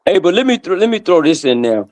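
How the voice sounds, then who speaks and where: A man's voice: high-pitched, big pitch swings, quick pace, darkish tone. A man in a casual sports chat, speaking emphatically and agreeing with the others.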